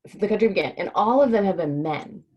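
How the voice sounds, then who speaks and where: A woman's voice: medium pitch, lively intonation, fast talker, a little mellow, breathy edge, lo-fi sound. A woman hosting a casual livestream read-aloud for children, talking informally and keeping things simple for young listeners. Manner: informal, explains hard words simply for children. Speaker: host reading a children's book on a livestream.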